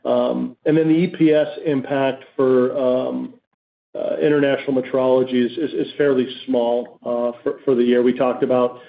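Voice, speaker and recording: A man speaking as a company executive, giving halting, measured answers on a business conference call. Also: mid-range voice, little pitch movement, gravelly. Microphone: conference call line. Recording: narrow phone-line audio.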